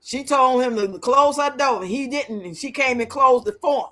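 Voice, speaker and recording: Woman, late fifties, livestream host: high bright voice, blunt, colloquial, chatty. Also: moderate pace. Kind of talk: casual livestream chat with viewers.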